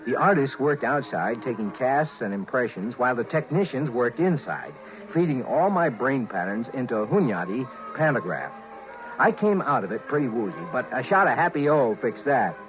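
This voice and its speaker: A man's voice: mid-range voice, big pitch swings, normal speed, faint music behind, natural voice. An American man playing a wry, scheming married businessman who tells his own story in a 1950s radio drama. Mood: wry narration. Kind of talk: 1950s radio drama acting with first-person narration. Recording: dull, noisy 1950s radio recording.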